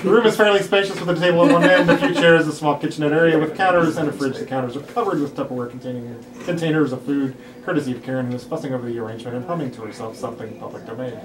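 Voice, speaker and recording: A narrator reads screenplay stage directions aloud at a table read, with a medium-pitched voice. The sound is roomy and picked up from a distance, with other people audible around them. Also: rapid-fire, hardly any pauses, a crowd in the background. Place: room with cast gathered around a table read.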